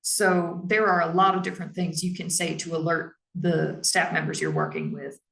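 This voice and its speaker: A woman who heads a public library, giving a measured, explanatory presentation on staff training in her naturally lower voice. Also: fairly even intonation, gravelly, a bit bright, reverberant. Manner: measured and explanatory, with frequent "um" and "now".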